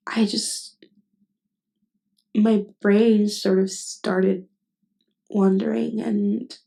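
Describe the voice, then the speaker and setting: A woman acting a nervous, tender best friend with hidden love in an intimate ASMR roleplay, speaking hesitantly and haltingly. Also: medium pitch, vocal fry, a little mellow. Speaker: voice actress.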